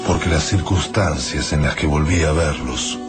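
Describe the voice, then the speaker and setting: A man's voice: rumbling low, moderate pace. An actor playing a grieving, resigned man, reflective and somber, narrating his memories in a radio drama. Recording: loud guitar music behind.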